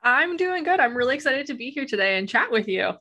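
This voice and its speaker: A woman's voice: high-pitched, very animated, fast, bright, forceful tone. A woman from Alberta with a Western Canadian accent, chatting informally and ramblingly as a podcast guest. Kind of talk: casual interview chat.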